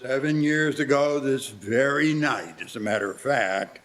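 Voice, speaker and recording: A cold-hearted old miser played by a man in a live radio play. He is gruff and curt, with heavy sarcasm and open scorn, in a mid-pitched male voice. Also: unhurried, a bit bright, close to an everyday voice. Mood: curt, dismissive. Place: live community theatre performance.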